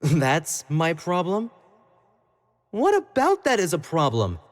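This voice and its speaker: A man's voice: a little high, wildly swooping pitch, slow pace, exaggerated voice. A man playing an obsessive, jealous young pop idol in a dramatic roleplay monologue, speaking intimately to the listener and swinging between sweet pleading and cold condescension. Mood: wounded, indignant.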